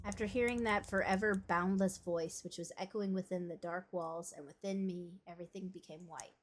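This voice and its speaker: A woman's voice: on the low side, steady tone, moderate pace, a little hiss or hum, close to an everyday voice. A woman voicing a grieving, guilt-ridden young woman who narrates a visual novel in a brooding, self-questioning way. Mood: subdued, awed. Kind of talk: dramatic first-person visual novel narration.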